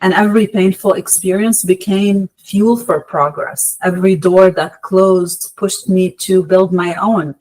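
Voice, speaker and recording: A woman from Lebanon giving measured, articulate, motivational answers as an interview guest, with emphatic phrasing. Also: lowish voice, fairly even intonation, darkish tone, poor audio. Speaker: podcast guest, entrepreneur.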